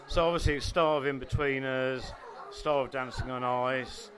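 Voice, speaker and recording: A man's voice: mid-range voice, steady tone, moderate pace, a crowd in the background. A man with an English accent interviewing guests at a launch, asking chatty, friendly questions.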